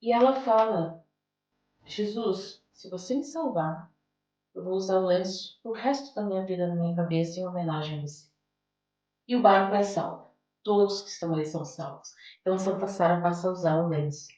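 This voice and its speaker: Low-voiced Brazilian woman, echoey and distant. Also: a little fast, mellow, lo-fi sound. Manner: explanatory, illustrates points with acted-out quotes. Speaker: podcast guest, therapist and oracle card reader. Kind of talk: conversational interview talk. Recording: roomy echo, distant microphone.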